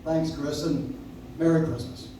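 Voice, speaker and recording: A man's voice: fairly high pitch, steady tone, unhurried, lightly acted. A man playing a friendly, easygoing family friend in a live radio play, recorded from the audience. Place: coffee house stage, recorded from the audience. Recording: echoey, distant audience recording with noise.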